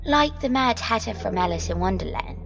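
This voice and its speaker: A woman acting a composed, determined, centuries-old guide in an audio drama, deliberate and at times whispery. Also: mid-range voice, lively intonation, gravelly. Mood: grave, hushed. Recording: long cavernous reverb, music bed.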